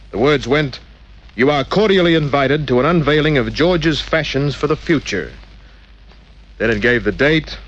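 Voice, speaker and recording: An actor playing a wry, easygoing private detective, narrating an old-time radio crime drama in a dry, laconic way. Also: male voice, medium pitch. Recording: dull old radio recording, faint music.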